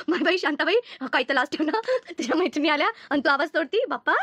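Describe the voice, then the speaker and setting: A woman's voice: high voice, quick pace, a bit bright, dry recording. A woman voicing a bossy, scolding village friend in a comedy cartoon, with a rural Maharashtrian Marathi accent. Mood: mocking. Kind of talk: comic cartoon dialogue.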